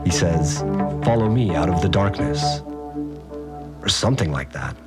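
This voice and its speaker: Deep rattled survivor dude, slangy sweary audio diary, rambling and animated, music behind, podcast drama. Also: male voice, measured pace. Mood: scared, awed. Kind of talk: casual confessional audio-diary monologue.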